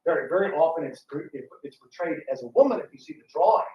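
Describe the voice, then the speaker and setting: Man with mid-to-high voice, echoey room. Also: lively intonation, fast talker, dull audio. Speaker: radio theatre actor and panel speaker. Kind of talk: casual chat to an audience. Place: indoor talk in a roomy space. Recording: roomy, distant, noisy live room recording.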